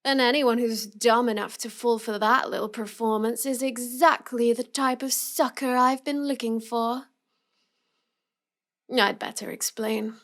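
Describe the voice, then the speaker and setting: A woman's voice: a little high, fairly even intonation, a bit bright. A woman playing a cute, smug young villainess who teases and manipulates the listener in a scripted roleplay audio. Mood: smug, gloating. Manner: slow, smug, taunting delivery.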